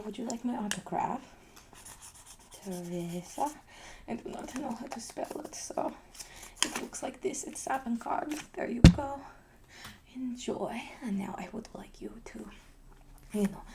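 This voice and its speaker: A woman playing a pretentious, eccentric female artist in a whispered roleplay, with a put-on foreign accent that slips, speaking in a haughty, deadpan way. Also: medium pitch, gravelly, a little hiss or hum.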